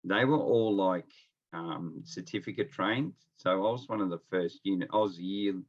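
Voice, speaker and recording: Dull call audio, man, Australian accent, low pitch, laid-back, colloquial, casual storytelling as interview guest. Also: normal speed. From Melbourne, Australia. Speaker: interview guest, experienced nurse. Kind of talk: casual anecdotal chat. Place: at home, on a remote call.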